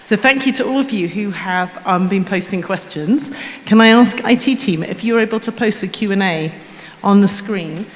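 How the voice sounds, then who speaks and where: A woman moderating a conference question-and-answer session, brisk and upbeat. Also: mid-range voice, big-room sound. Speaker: panel moderator. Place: conference hall.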